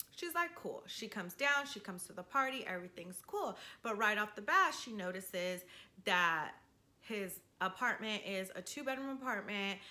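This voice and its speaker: A woman hosting a storytime vlog, telling a gossipy story in a casual, animated, slangy way with swearing and frequent check-ins to the listener. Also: medium pitch. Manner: checks in with "right?" and "you know". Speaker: storytime video host.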